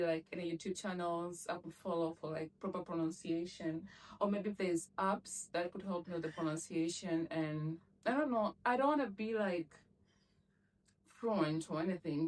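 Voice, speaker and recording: A woman's voice: fairly low pitch, distant mic, low-quality recording. A young woman chatting casually to camera as a vlogger, laughing often and filling her talk with "like".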